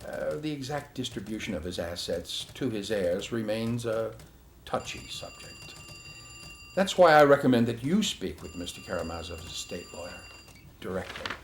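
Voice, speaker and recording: An actor playing a formal, guarded male attorney giving a measured, carefully worded statement in a crime drama. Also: on the low side, sing-song, slight background noise. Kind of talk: acted formal statement to investigator.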